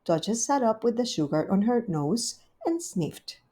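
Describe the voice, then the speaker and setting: A woman reading a classic children's story aloud in a gentle, clear storytelling manner. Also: a little high, big pitch swings, unhurried. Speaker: storybook reader.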